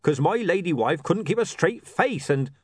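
A man's voice: fairly high pitch, lively intonation, normal speed, breathy edge, no echo, voice pushed up. A cheerful, pushy middle-aged joker who thinks everything is hilarious, as a man reads him. His voice is raised and hearty. The sound is dry and close. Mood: jovial.

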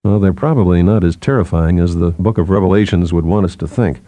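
A man's voice: low voice, brisk, dark tone, no echo, lightly acted. A man voicing a wry, nervy man resigned to looming catastrophe, in a hesitant, questioning way for an audiobook. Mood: wry, uneasy.

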